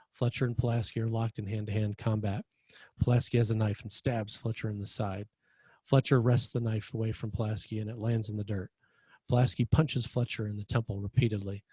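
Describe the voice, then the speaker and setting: A man's voice: fairly low pitch, dark tone, breathy edge, no echo. A man reading scripted stage directions as the narrator of a screenplay table read.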